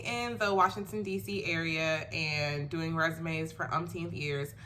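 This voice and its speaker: A woman's voice: low voice, fairly even intonation, a little slow, over music. A congested woman with a stuffy, nasal voice from a cold, giving casual career tips in a chatty, emphatic way. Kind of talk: casual how-to advice video.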